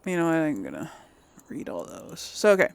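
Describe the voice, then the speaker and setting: A woman's voice: low-pitched, huge pitch swings, a little fast, vocal fry. A woman hosting a let's play, chatting casually to herself and her viewers as she comments on the game.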